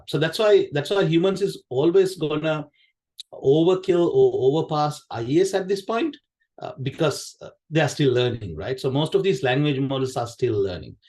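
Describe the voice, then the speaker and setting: A man's voice: medium pitch, darkish tone. Sri Lankan man giving a tech talk. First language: Sinhala. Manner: conversational, repeats phrases, poses questions and tags them with "right?". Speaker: QA director giving a conference session. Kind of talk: informal technical presentation.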